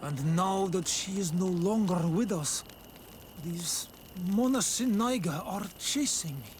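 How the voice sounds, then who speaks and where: A man's voice: sits high, animated, slow pace, slight background noise. A man playing a bitter, fearful native stone engraver in hiding, solemn and guarded, in a video game cutscene.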